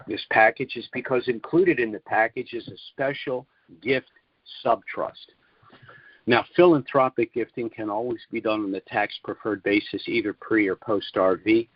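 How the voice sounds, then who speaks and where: A man giving a sales presentation on a conference call, speaking in deliberate, measured phrases with emphatic pauses. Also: mid-range voice, no room sound. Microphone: conference call line. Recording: dull, lo-fi conference call audio.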